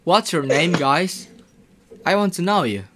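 A man's voice: fairly high pitch, lively intonation, over a noisy crowd. A young man from Indonesia, chatting shyly in broken English on a webcam video call from a small room. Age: young adult. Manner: slow, friendly, a bit shy with broken English. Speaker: street-style singer chatting with strangers. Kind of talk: casual video chat. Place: small room indoors with blue curtain. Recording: acoustic guitar behind the voice.

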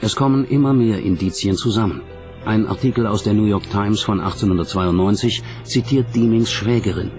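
A man's voice: lowish voice, a little fast, a little mellow, faint music behind. A man reading scripted narration as the narrator of a documentary.

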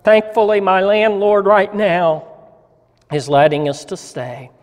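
A man voicing a poor, hungry, humble young soldier's wife in a story reading, plaintive and halting. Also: sits high, fairly even intonation. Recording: reverberant church hall.

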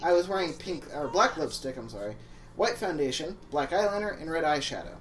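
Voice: medium pitch, expressive, fairly quick, a bit bright, far from the mic, slight background noise. A let's play co-host reading fan fiction aloud in a mock-dramatic, sarcastic way, with joking asides. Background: game music.

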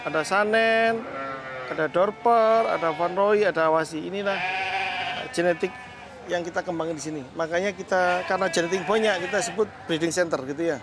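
A middle-aged man with a fairly high voice. He chats in a relaxed, matter-of-fact way while showing visitors around his open-air goat barns, recorded on a lapel mic with clear music playing underneath. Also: fairly quick. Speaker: goat farm owner giving a tour. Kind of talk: casual explanatory tour talk. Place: outdoor open-sided livestock barns. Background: background music.

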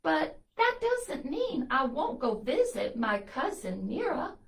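A woman's voice: sits high, sing-song, slow, vocal fry, voice pushed up, full character voice. A woman voicing a timid little female country mouse in a puppet show, chatting to children. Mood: relieved, affectionate. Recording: roomy, distant from the camera mic.

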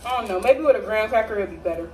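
A woman's voice: medium pitch, very fast. A young American woman chatting casually and playfully in slang to viewers as she hosts a home cooking vlog. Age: young adult. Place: small home kitchen, indoors. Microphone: phone or camera propped at a distance. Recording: distant mic, noisy home kitchen ambience.

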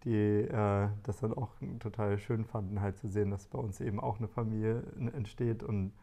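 A man in his forties talking in a relaxed interview about family life, thoughtful and full of small fillers and restarts, recorded on a lapel mic indoors. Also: fairly low pitch, normal speed. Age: 40s. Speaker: interviewee, father on a shared farm. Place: bright living room indoors.

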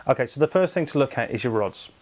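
A man's voice: mid-range voice, animated, fast talker, a little mellow. A young man in his twenties, host of an angling channel, explaining tackle to camera from a small home office with lots of fillers and self-corrections.

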